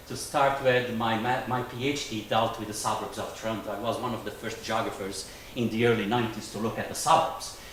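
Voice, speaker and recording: A man's voice: medium pitch, fairly bright tone, slight background noise, low-quality recording. A middle-aged man from the Azores giving an academic conference talk, jet-lagged and tired, chatty and digressive with joking asides to the audience. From Azores, Portugal. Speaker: conference speaker, geographer. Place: lecture room with an audience.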